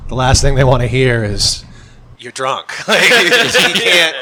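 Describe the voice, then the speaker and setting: A man chatting casually as a podcast guest, telling bartending stories in an animated way and acting out the dialogue. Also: medium pitch, unhurried. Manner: animated storyteller, acting out dialogue, swearing freely. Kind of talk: casual comic bar storytelling.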